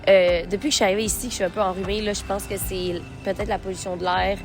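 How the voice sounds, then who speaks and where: A young woman with a Québécois accent chats to her camera in a mid-pitched voice, gushing and excitable. She keeps dropping English words into her French. Music runs clearly underneath her. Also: fast talker. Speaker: travel vlogger. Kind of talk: casual vlog chat to camera. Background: background music.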